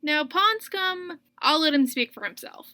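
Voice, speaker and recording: A woman's voice: sits high, expressive, a little slow, very noisy. A young woman narrating a casual scripted video review, chatty and full of wry asides.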